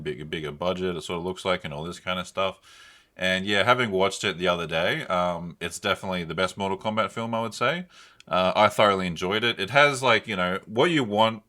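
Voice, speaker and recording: A man's voice: sits low, pushed and bright. A young man co-hosting a podcast, giving casual, unscripted reviews in a rambling, slangy way. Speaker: podcast co-host. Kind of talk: casual unscripted film and game review chat.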